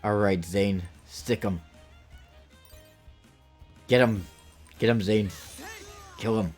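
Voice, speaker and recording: Low-voiced man chatting on a game stream. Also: slow pace, darkish tone. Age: late 20s. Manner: rambling, anecdotal, acts out quoted remarks. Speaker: video game livestreamer. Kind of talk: casual chat with viewers while gaming. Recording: music behind the voice.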